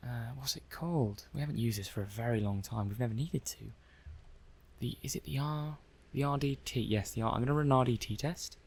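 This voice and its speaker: A man's voice: fairly low pitch, vocal fry. A man playing a mild-mannered, puzzled robot-testing technician in an ASMR roleplay, hesitant and muttering to himself. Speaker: roleplay performer.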